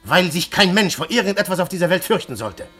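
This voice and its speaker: An actor playing an earnest, defiant undercover police detective, courteous and dramatically emphatic, in a German radio play. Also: male voice, sits high, very animated, a little fast, pushed and bright, breathy edge, faint music behind, exaggerated voice. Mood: grave, resolute.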